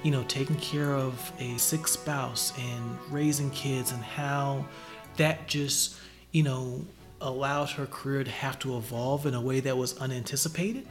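Upbeat male podcast host, mid pitch, effusive and encouraging, casual interview delivery. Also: steady tone, measured pace, over music.

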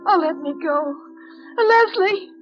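Shrill, terrified captive woman. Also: expressive, slow pace, exaggerated voice. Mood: desperate pleading. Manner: wailing, shrieking, pleading. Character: terrified captive young woman, pleading desperately. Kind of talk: old-time radio horror acting. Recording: muffled vintage radio, music behind.

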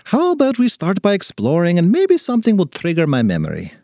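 A man's voice: high voice, huge pitch swings, fairly quick, mellow, dry recording, clean studio sound, pitched above the performer's own voice, exaggerated voice. A man voicing a little forgetful, feisty leprechaun with an Irish accent in a storybook tale. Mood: musing. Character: little red-bearded male leprechaun, forgetful, feisty and chatty.

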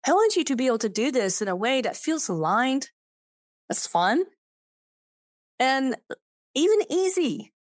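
A woman's voice: high-pitched, very animated, unhurried, pauses often, no room sound, clean studio sound. A middle-aged woman from Sweden with a Swedish accent, a non-native English speaker, hosting a solo podcast from her home office in an earnest, emphatic way. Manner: earnest, emphatic, rising on key words. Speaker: podcast host.

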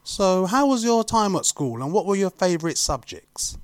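A woman's voice: lowish voice, big pitch swings, normal speed. A woman interviewer asking brief, friendly questions.

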